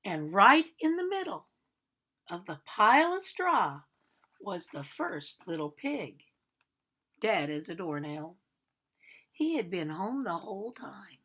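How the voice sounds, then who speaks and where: Storybook big bad wolf, played by a woman: chatty, aggrieved, theatrical, highly animated, unhurried delivery. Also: heavily acted. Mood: self-justifying, dramatic. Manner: chatty, theatrical, protesting innocence. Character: aggrieved, self-justifying male big bad wolf telling his story. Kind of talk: animated storybook read-aloud.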